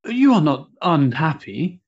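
An actor playing an earnest, devoted young Victorian gentleman in a period stage drama, courteous and solicitous. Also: on the high side, very animated, unhurried, husky, dull audio, heavily acted. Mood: tender, anxious.

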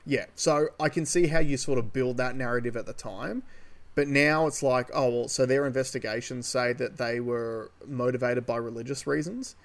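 A man's voice: mid-range voice, moderate pace. An Australian man co-hosting a podcast, talking casually and emphatically through his opinions on current affairs. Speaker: podcast co-host. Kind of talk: casual opinionated political discussion.

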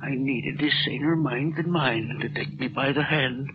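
A man's voice: medium pitch, highs cut off, close to an everyday voice. An actor playing a frightened, bewildered old man who stammers and doubts his own sanity in a 1940s radio drama. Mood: troubled, weary. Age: older. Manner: stammering, halting, agitated. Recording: noisy, band-limited old radio recording.

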